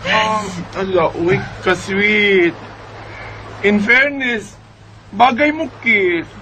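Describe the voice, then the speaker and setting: A man playing an excitable, teasing, gushing friend in a radio drama, with a Filipino Bisaya accent. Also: high voice, drawn-out words, exaggerated voice. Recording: noisy radio drama recording.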